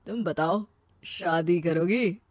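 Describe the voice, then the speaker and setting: A woman voicing a confident, smooth-talking young man in a romantic story, brisk and self-assured. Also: on the low side, dry recording, studio quality. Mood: wooing.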